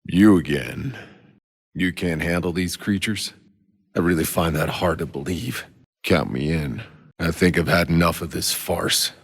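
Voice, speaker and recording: A very low, sardonic game soldier. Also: male voice, a little slow, creaky, breathy edge, no echo, heavily acted. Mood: curt, guarded. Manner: terse, dry, sardonic. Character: gruff, sardonic, battle-hardened male soldier hero. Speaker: video game voice actor. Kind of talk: video game character voice lines.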